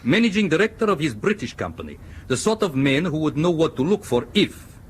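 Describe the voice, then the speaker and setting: An actor in a radio drama playing a suspicious foreign ship's captain and scientist who speaks in a measured, probing, ironic way. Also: male voice, a little high, sing-song, slight background noise. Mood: cautious, accusing.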